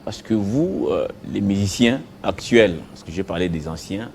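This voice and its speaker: A man with a Congolese accent hosting a conversational interview, putting his questions in a halting, drawn-out way. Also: fairly low pitch, lively intonation, a little mellow, a little hiss or hum. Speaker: interview host.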